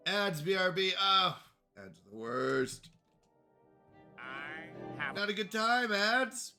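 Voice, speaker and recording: A man's voice: sits high, lively intonation, takes it slowly, over music. A man with a congested voice chatting casually to viewers while playing a strategy game on a live stream. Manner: chatty, greets chat viewers.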